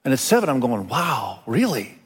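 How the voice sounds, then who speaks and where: A man from Indonesia, a native Indonesian speaker, preaching a sermon as a pastor in an emphatic way with deliberate pauses and repetition. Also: mid-range voice, big pitch swings, husky. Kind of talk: expository sermon. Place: large hall, speaking to a congregation. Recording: reverberant large hall sound.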